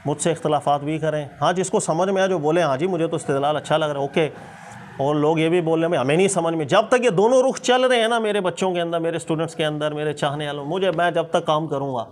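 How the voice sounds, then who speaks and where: Male religious teacher, Pakistani accent, slightly high voice. Emphatic, explanatory, conversational delivery over faint room noise. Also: fairly even intonation, quick pace. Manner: emphatic, explanatory, repeats points and checks in with rhetorical asides. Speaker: Islamic scholar and teacher. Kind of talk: religious discussion, informal teaching.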